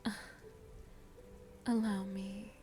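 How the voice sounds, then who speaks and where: A woman voicing a seductive, motherly vampire hostess in an ASMR roleplay, breathy and soft, with a tender, teasing manner. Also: medium pitch, little pitch movement, extremely slow, soft background music. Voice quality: breathy, whispery.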